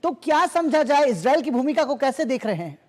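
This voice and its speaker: A man's voice: extremely high pitch, very animated, a little fast, a little mellow, long reverb tail. A man anchoring a live news interview, asking formal, long-winded questions.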